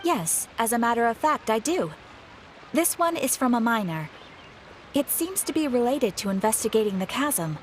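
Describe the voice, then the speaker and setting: An actress playing a courteous, composed guild receptionist in a video game, speaking formally and politely. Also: female voice, on the high side, lively intonation, normal speed, noisy background, natural voice. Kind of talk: video game dialogue acting. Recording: game music behind the voice.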